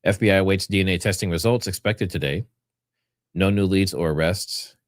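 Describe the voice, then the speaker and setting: A man's voice: deep voice, brisk, no echo, studio quality. A man hosting a livestream, giving informal, rambling commentary and partly reading from notes. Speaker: livestream host.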